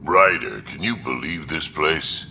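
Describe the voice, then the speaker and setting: An actor playing a gruff, hearty old Krogan alien in a video game, boisterous and blunt, with a deep gravelly voice. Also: male voice, expressive, measured pace, pushed and bright, a little hiss or hum, full character voice. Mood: hearty, fond.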